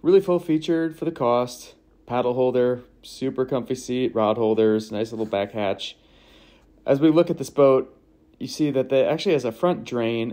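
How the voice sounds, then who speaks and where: A man's voice: mid-range voice, darkish tone. A man narrating a walkthrough of a kayak's features in an easygoing manner, listing them in short phrases. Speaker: kayak reviewer.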